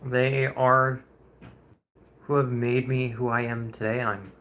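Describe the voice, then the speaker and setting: A young man in his twenties talks casually to his livestream audience, with a bright, forward tone. He rambles a bit, greets his viewers, and chuckles often as he goes. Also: mid-range voice, level tone, unhurried, a little hiss or hum. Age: 20s. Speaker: streamer. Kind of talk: casual chat on a painting livestream.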